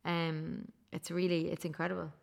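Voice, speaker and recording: A woman's voice: on the low side, flat delivery, normal speed, vocal fry. A woman co-hosting a casual podcast interview, chatty and enthusiastic, with a frequent "like" in her speech. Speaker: podcast co-host.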